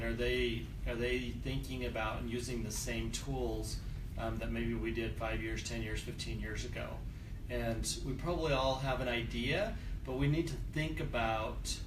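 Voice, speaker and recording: A man in his thirties hosting a panel in a relaxed, jokey way, with plenty of fillers. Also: mid-range voice. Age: 30s. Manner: chatty, jokey, many fillers. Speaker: host, company founder. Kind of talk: casual panel moderating. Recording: echoey room, distant mic, background noise.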